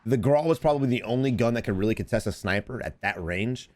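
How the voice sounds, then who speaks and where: A man in his thirties talks fast and eagerly, piling up tips and repeating himself as he breaks down a match. His voice is slightly hoarse from screaming on stream, and he speaks into a desk microphone at home. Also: medium pitch, expressive, darkish tone. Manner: rapid, repetitive, advice-giving. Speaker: gaming coach and streamer. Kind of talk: casual gameplay commentary and coaching. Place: home streaming setup indoors.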